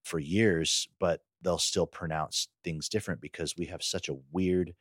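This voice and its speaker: A man's voice: deep voice, steady tone, a little slow, no echo, clean studio sound. A man chatting casually as a podcast co-host. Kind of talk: casual chat.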